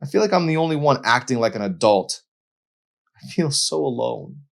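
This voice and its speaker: A man's voice: mid-range voice, big pitch swings, normal speed, gravelly, voice pushed up. A man voicing an angry, hurt young woman in an audiobook, demanding and confrontational. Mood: distraught, wounded. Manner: indignant, confrontational.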